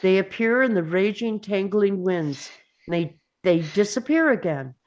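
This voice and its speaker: A woman's voice: mid-range voice, expressive, normal speed, darkish tone, dull audio. A woman narrating scripted stage directions for a screenplay read.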